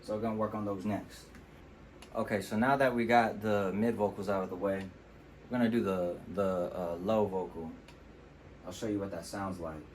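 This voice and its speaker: A man with a deep voice, casually walking through how he mixes his own vocals in a relaxed, explanatory way. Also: moderate pace, slight background noise. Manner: relaxed, explanatory, with fillers like "you know". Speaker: music producer demonstrating vocal mixing. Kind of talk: casual tutorial walkthrough. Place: temporary home studio.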